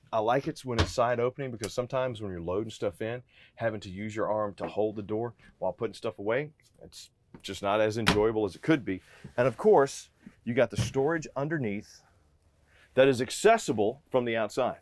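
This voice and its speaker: A man's voice: medium pitch, normal speed, slight background noise. A man in his forties giving an upbeat, chatty walkthrough as an RV salesman, inside a trailer and then out on a dealer lot. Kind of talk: casual product walkthrough.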